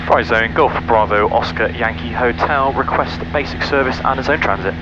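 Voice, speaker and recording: A man's voice: medium pitch, moderate pace. A man flying as a private pilot, making radio calls and talking methodically through his cockpit checks over a headset. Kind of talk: radio calls and self-talk cockpit commentary. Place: small light aircraft cockpit in flight. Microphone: aviation headset. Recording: dull cockpit intercom, loud engine noise.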